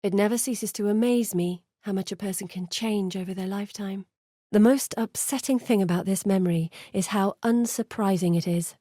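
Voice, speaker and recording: Lady voicing an earnest curious scholar woman from a video game, articulate n dramatic, mid pitch, real dry sound. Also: dark tone, dry recording, natural voice. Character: earnest, curious woman scholar guiding an exile through memories. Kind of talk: video game character voice acting.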